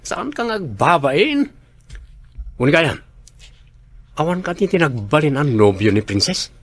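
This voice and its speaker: A man's voice: a little high, sing-song, a little slow, breathy edge, heavily acted. A man acting a teasing, nosy male coworker in a radio drama.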